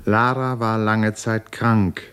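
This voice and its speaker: Deep-voiced male radio drama narrator. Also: little pitch movement, takes it slowly, a bit bright, clean tone.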